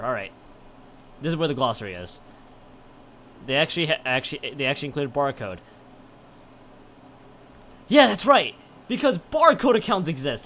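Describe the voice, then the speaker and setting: A man's voice: on the high side, a bit bright, slight background noise. A man commentating on a game stream and reading game text aloud in a rambling way, often stumbling and correcting himself. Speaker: live streamer.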